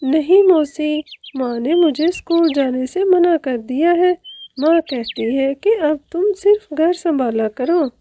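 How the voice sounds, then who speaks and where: A woman's voice: high voice, animated, slow, warm tone, slight background noise, pitched above the performer's own voice. A woman voicing a meek, mistreated young orphan girl bird, timid and plaintive, in a cartoon. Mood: sad. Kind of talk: Hindi cartoon story voice.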